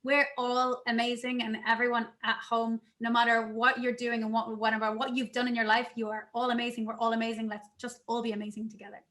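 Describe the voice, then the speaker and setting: A woman speaking casually on a video call panel, an animated storyteller who acts out the lines she quotes. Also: fairly high pitch, a little fast, few pauses.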